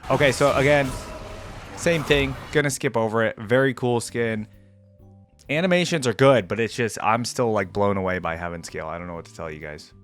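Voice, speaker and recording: A man's voice: medium pitch, fairly quick, a bit bright, soft background music. A man giving casual reaction commentary in an informal, slangy gamer way. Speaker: reaction video host.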